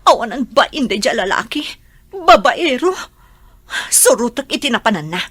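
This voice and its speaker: A woman's voice: fairly high pitch, sing-song, normal speed, fairly bright tone, husky, no room sound, exaggerated voice. Dry-recorded, stubborn Ilocano young wife, acted by a woman. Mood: aggrieved, defiant. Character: wronged, stubborn young mother, jealous wife. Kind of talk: radio drama acting.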